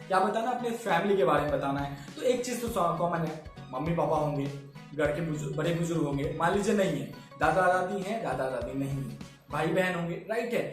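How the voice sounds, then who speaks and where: Man, high voice, very echoey room, classroom teacher, checks understanding. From Bihar, India. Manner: mixes Hindi and English, asks "samajh mein?". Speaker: English teacher. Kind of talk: classroom lesson.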